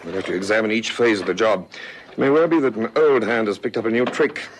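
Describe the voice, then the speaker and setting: An actor playing a shrewd, methodical senior police detective, authoritative and thoughtful, in a vintage radio drama. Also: male voice, on the low side, quick pace, lightly acted. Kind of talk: 1960s-70s radio drama acting. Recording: noisy vintage radio recording.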